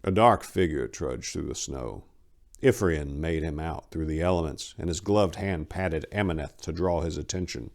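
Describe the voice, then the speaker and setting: A man with a deep voice works as host and narrator. His delivery is scripted, deliberate and theatrical, suited to dramatic story readings. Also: gravelly, a little mellow.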